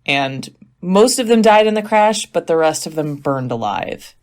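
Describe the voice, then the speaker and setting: A woman's voice: deep voice, very animated, unhurried, a little mellow, full character voice. A woman playing a skeptical, dryly exasperated podcaster, telling tales in casual, matter-of-fact banter. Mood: dry, grisly relish. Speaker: podcast co-host. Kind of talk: casual scripted storytelling banter.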